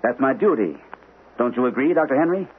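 An actor playing an earnest, troubled army captain in an old-time radio mystery, on a hissy narrow-band broadcast. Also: male voice, medium pitch, animated, fairly quick, lightly acted. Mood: shaken, insistent. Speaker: radio actor, also narrating in character.

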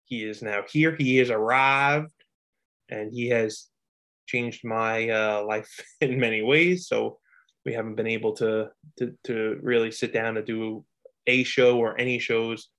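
Man, podcast co-host, rambling casual chat, mid-pitched voice, laughs often. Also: steady tone, a bit bright. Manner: loose, rambling, quick to laugh.

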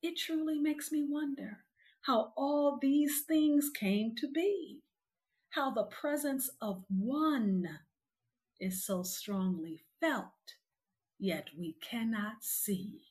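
A woman hosting a podcast, delivering scripted announcements and poetry in a deliberate, expressive way. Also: sits high, breathy edge, no echo. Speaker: podcast host. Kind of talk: scripted show sign-off and poetry recitation. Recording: music bed under the voice. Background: music.

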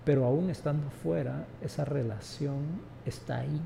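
Gravelly dark-voiced man. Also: fairly high pitch, fairly even intonation, moderate pace, slight background noise. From Guatemala. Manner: emphatic, frequent rhetorical tag questions. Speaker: interview guest. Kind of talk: reflective conversational interview.

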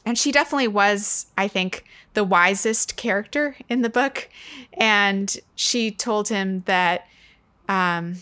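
A woman in an unscripted literary discussion, speaking in a halting, reflective manner. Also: mid-range voice, sharp tone, clear, resonant tone. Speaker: book discussion podcast participant.

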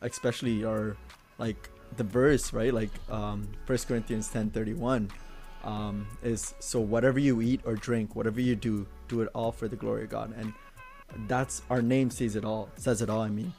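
A man's voice: lowish voice, steady tone, normal speed, warm tone, soft background music. A man in his twenties hosting a casual podcast chat among friends. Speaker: podcast host.

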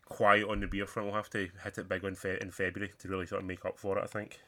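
A man hosting a podcast from home, chatty and quick to ask his co-host questions. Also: low voice, little pitch movement, a bit bright. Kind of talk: casual chat.

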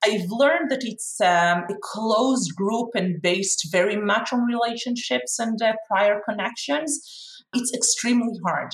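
A woman's voice: fairly high pitch, measured pace. Israeli woman, frank, in an echoey room. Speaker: chief executive being interviewed. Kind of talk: interview answers. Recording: roomy, echoey sound.

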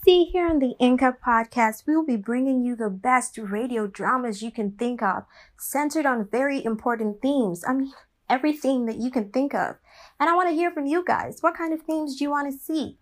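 A woman's voice: sits high, normal speed. A young woman chatting informally and peppily to her listeners as a podcast host. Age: young adult.

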